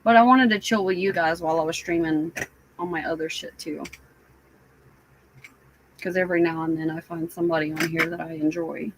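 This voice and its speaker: A woman live streamer chatting casually with her viewers, sounding stuffy and raspy, rambling with lots of fillers. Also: low-pitched, steady tone, background noise. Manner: slow, rambling, many fillers.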